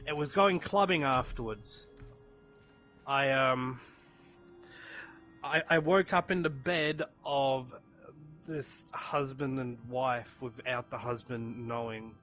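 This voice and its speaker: Halting young man in his early twenties. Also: mid-range voice, muffled recording. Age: early 20s. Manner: halting, full of restarts and ums. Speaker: gaming friend. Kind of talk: casual chat while gaming. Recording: loud game music behind.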